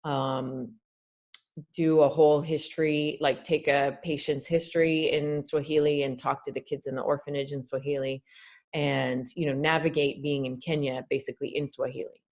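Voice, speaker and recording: A woman's voice: low voice, flat delivery, moderate pace, darkish tone. Earnest woman doctor explaining on a video call. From Canada. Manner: earnest, explanatory, with frequent fillers. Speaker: guest doctor. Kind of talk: webinar interview answers.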